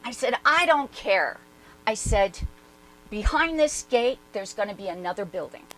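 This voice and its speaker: A woman's voice: mid-range voice, lively intonation, measured pace, a bit bright, poor audio. A woman performing a dramatic reading as a brash, independent-minded woman recalling her past in emphatic, conversational asides. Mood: animated, wondering. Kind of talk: dramatic reading, animated first-person storytelling.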